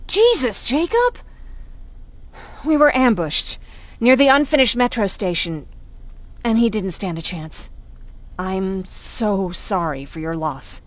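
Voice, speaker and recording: A principled, compassionate woman fighter for a game drama. Her mid-pitched voice is very mobile, sincere and emotive. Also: sing-song, slow pace, pauses often. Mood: sorrowful. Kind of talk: video game dramatic acting.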